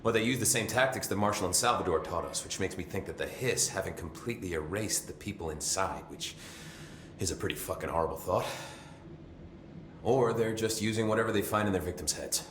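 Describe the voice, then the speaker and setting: An actor playing a chatty, nervy, loyal maintenance security chief in a video game, rambling informally with wry swearing. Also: male voice, deep voice, brisk, fairly bright tone, reverberant, a little hiss or hum. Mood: wry, candid.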